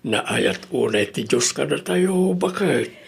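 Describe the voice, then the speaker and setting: Actor as humble, kindly grandson, mid-pitched. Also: male voice, animated, slow pace, vocal fry, slightly rough, heavily acted. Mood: reassuring. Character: humble, kindly grandson, patient under suspicion. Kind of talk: Ilocano radio drama acting.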